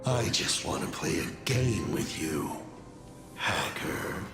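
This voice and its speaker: A man's voice: low voice, expressive, slow, vocal fry, full character voice. A man voicing a chaotic trickster villain in a video game, theatrical and mocking in manner. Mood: sly, taunting. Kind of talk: scripted video game acting. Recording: echoing, loud music underneath.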